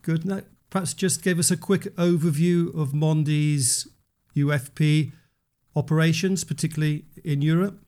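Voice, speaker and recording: A man's voice: a little high, steady tone, moderate pace, darkish tone, no room sound. A man hosting a business podcast, reading introductions and putting questions to a guest. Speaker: podcast host and magazine news editor.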